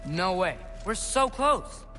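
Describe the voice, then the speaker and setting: A man's voice: high-pitched, big pitch swings, slow pace, pushed and bright, soft background music, full character voice. A young man voicing a stubborn, brave teenage boy adventurer in a Norse myth video game, defiant and earnest. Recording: game sound effects and ambient noise behind. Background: game ambience and effects.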